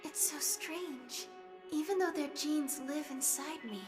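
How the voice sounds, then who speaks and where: Game heroine: high, girlish, earnest and questioning, echoey hall over music. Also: takes it slowly. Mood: pensive, wondering. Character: earnest, wondering young heroine. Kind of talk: English-dubbed anime-style game acting.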